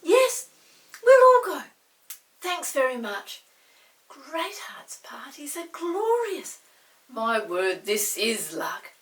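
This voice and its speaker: A woman's voice: sits high, very animated, slow, long pauses, roomy sound, recorded from a distance, pitched above the performer's own voice, full character voice. A woman voicing a merry, gushing male pixie in a children's storybook. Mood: thrilled.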